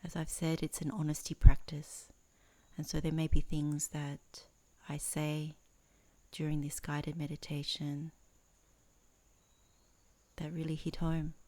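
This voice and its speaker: Woman meditation teacher, deep mellow voice, earnest, talks in short phrases w pauses. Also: completely flat delivery. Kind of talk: guided meditation introduction, Dhamma talk.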